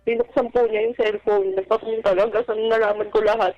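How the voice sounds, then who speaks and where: A woman caller telling her personal story on a radio advice show in a polite, halting, earnest way. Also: on the high side, fairly even intonation, no echo. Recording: dull lo-fi phone-in line with music. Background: background music.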